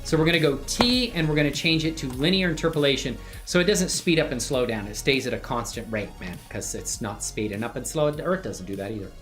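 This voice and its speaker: Female live-stream tutorial host, low-pitched voice, informal and upbeat, buddy-like with the chat, soft music underneath. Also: lively intonation, fairly quick, hardly any pauses. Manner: upbeat, addresses viewers as man and bro. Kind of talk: casual live tutorial chat, thinking aloud.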